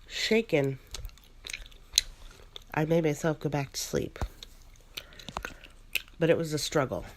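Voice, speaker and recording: A woman's voice: sits low, fairly even intonation, takes it slowly, background noise. Woman telling an ASMR ghost story, gum clicking. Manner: chews gum while narrating.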